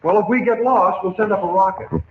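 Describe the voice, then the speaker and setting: A male performer plays an excitable, curious boy in a radio adventure serial. His voice is high and swoops freely. The old recording is echoey with clear background noise. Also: a little fast, phone-quality sound, close to an everyday voice. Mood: eager, curious. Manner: excitable, inquisitive. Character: eager, curious adolescent boy, adventurous nephew. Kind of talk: old-time radio drama acting.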